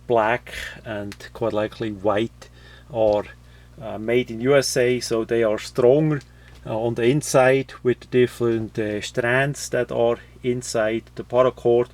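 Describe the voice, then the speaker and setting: A man presenting a how-to, explaining step by step in an unscripted, halting way with frequent pauses. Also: medium pitch, level tone, darkish tone. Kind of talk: unscripted step-by-step tutorial talk.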